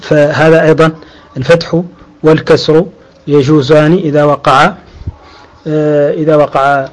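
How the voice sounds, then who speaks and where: Guy teaching grammar, kinda high voice, lots of long ums, recites verses slow. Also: steady tone, rough recording. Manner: explains slowly with many drawn-out fillers and restarts; quotes verse and scripture in a measured recital tone. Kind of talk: lecture explaining a grammar text.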